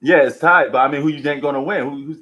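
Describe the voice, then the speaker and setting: A man's voice: fairly high pitch, fast, fairly bright tone. A man hosting a live sports stream, chatting casually with slangy, bantering commentary. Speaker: livestream sports host.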